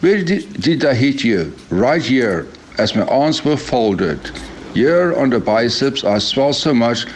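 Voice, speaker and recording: A man's voice: medium pitch, very animated, unhurried, a little hiss or hum. A man reading a first-person testimony as a voice-over translation.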